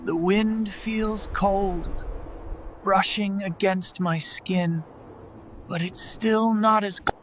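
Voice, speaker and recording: A woman narrating as a lonely, heartbroken poor child in a cartoon story, mournful and drawn-out, with pauses between phrases. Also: medium pitch, steady tone, slight background noise. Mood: mournful, longing. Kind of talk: scripted first-person story narration. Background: prominent music.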